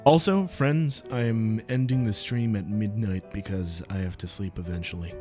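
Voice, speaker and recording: A young man streaming, reading visual novel narration aloud in a laid-back, deadpan way. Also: on the low side, moderate pace, faint music behind.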